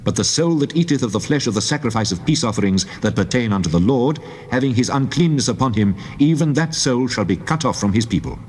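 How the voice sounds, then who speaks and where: A man playing the Lord God as a solemn, commanding lawgiver, declaiming in measured, resonant phrases in a dramatized scripture reading. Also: mid-range voice, lively intonation, a little mellow, husky. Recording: long cavernous reverb.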